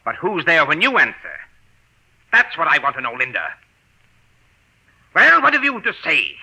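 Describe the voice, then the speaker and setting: An American actor playing a ruthless, jealous husband in 1940s radio suspense style, with deliberate, sardonic, theatrical phrasing. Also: male voice, a little high, huge pitch swings, creaky, bright, forceful tone, breathy edge, heavily acted. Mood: jealous, accusing. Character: ruthless, possessive jealous husband and murderer, coldly menacing. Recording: dull vintage radio drama recording.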